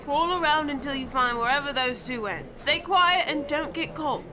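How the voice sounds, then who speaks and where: A woman voicing a sharp, bossy young girl detective who gives brisk orders. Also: high voice, expressive, background noise. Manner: brisk, commanding. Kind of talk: audio drama acting.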